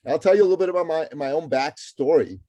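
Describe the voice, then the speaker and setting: A man's voice: on the high side, rapid-fire, warm tone, no echo. A middle-aged man from Iran, a guest author and entrepreneur, talking energetically and emphatically in an interview, often restarting words. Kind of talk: interview conversation.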